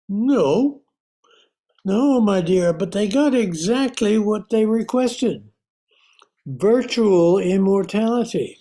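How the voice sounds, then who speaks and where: A man acts out a calm, cunning doctor from a radio drama. His tone is mellow and composed. He explains his schemes at a very measured, unhurried pace. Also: high voice, heavily acted. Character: calm, cunning doctor uncle, a scientist outwitting gangsters. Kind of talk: old-time radio thriller acting.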